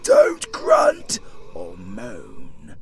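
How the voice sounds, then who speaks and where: A British man playing a gleefully evil, flirty undead necromancer in an audio roleplay, theatrical and foul-mouthed, swinging between sly whispers and shrill shrieks. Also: huge pitch swings, drawn-out words, crowd noise, full character voice. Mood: excited, conspiratorial. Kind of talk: audio roleplay acting spoken to the listener.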